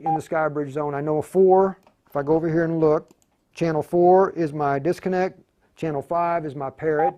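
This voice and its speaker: A man with a slightly high voice is hosting a hands-on tutorial. He explains things informally, stopping and starting as he thinks aloud, like a hobbyist radio operator showing the steps. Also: dark tone. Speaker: livestream tutorial host, amateur radio operator. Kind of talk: casual hands-on demonstration.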